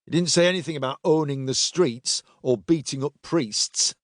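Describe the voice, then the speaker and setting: A man voicing a weary, steely male Roman agent in an audiobook, speaking in a measured, dry, firm way. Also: a little high, no room sound, natural voice. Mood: cool, wry.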